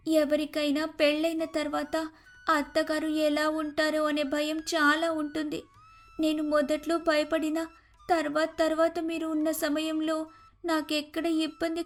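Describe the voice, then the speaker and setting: A woman voicing an earnest, respectful young daughter-in-law in an acted moral story. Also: high-pitched.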